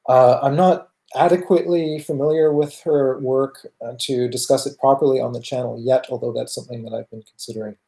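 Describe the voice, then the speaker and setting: A man with a Canadian accent answering viewer questions in casual livestream talk, thoughtful and halting as he goes. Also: medium pitch, steady tone, vocal fry, dark tone. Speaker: music channel host answering viewer questions.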